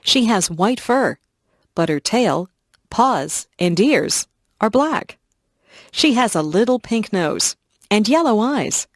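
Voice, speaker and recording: A woman reading short scripted passages for English learners in a slow, clear, evenly paced voice. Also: medium pitch, big pitch swings, no room sound, studio quality. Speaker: English lesson reader. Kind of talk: scripted narration of simple passages.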